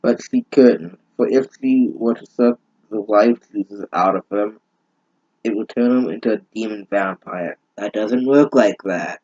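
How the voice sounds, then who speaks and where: A man's voice: fairly low pitch, a little slow, darkish tone, lo-fi sound. A man giving a mocking reading of fan fiction, breaking in with exasperated, sarcastic, sweary asides. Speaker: host of a bad-fanfiction reading series.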